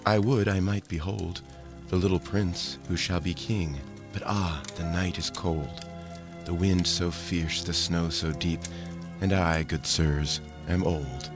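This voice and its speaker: A man's voice: low-pitched, fairly even intonation, takes it slowly, music in the background. Wistful old peasant woman played by a man. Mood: reluctant, weary. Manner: sighing, wistful verse delivery.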